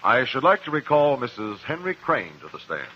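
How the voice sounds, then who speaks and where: An actor in a 1950s radio drama playing a principled cross-examiner, measured, articulate and pressing. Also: male voice, mid-range voice, very animated, lightly acted. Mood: probing. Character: probing, principled male courtroom cross-examiner. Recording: muffled vintage 1950s radio recording.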